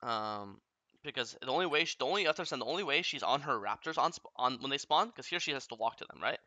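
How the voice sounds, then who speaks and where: A man's voice: medium pitch, expressive, sharp tone, no echo. A young man coaching a player through a game replay, talking bluntly and often swearing as he explains. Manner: blunt, profane, rapid explaining.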